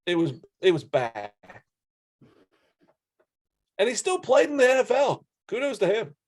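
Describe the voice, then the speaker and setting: Male sports podcast co-host with a higher register, casual conversational storytelling. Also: big pitch swings, unhurried, a little mellow. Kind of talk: casual sports chat and anecdotes.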